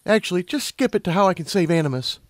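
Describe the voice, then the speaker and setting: A man's voice: high-pitched, animated, fast talker, no room sound, clean studio sound, voice pushed up. A man voicing an anxious, sceptical young female unicorn pony with a hesitant, questioning, earnest delivery.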